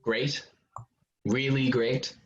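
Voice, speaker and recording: An actor playing a controlling former soldier in a staged drama reading. He talks casually at a middle pitch, needling and pressing the listener, through slightly dull lo-fi audio. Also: level tone, drawn-out words, a little hiss or hum. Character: insinuating, controlling ex-soldier, abusive former partner. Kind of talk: staged play reading over video call.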